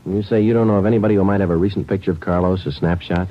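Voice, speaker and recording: An actor playing a terse, businesslike detective in a 1940s radio police drama, speaking in a clipped deadpan. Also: male voice, low voice, rapid-fire, a little hiss or hum, lightly acted. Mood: probing. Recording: dull vintage 1940s radio recording.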